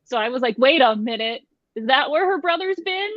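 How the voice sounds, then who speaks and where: A woman's voice: high-pitched, animated, brisk, no room sound. Woman in dry, slightly dull audio. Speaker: book club panelist. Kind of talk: casual book chat.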